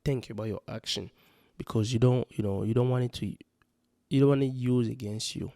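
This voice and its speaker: Man, gravelly voice, dark tone, dry close mic, earnest rambling vlog talk. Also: mid-range voice, fairly even intonation, moderate pace, dry recording. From Congo. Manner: rambling, earnest, many fillers like "you know". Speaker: vlogger giving parenting advice. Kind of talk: casual spoken vlog monologue. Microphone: handheld or close mic.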